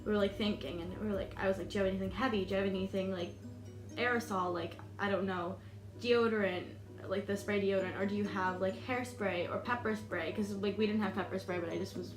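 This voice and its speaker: A woman's voice: mid-range voice, fairly even intonation, fast. Brief: American woman, 20, vlogger, rambling nervous-laugh delivery; echoey room, music bed. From United States. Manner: chatty, many "like"s and "um"s, laughs nervously. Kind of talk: storytime vlog.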